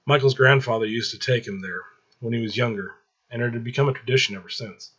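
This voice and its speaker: A man's voice: medium pitch, fast talker, fairly bright tone, slightly rough, highs cut off. A man reading fiction aloud as a story narrator.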